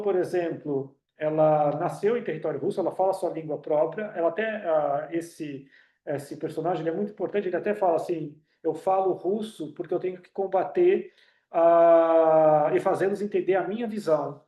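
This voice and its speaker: A man's voice: on the high side, moderate pace, mellow, echoey room. A Brazilian man speaking on an online video call, with a Brazilian Portuguese accent, giving a talk full of fillers and restarts. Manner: hesitant, many fillers and restarts. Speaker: union director speaking on a webinar. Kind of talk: online talk with audience questions. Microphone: computer mic on a video call.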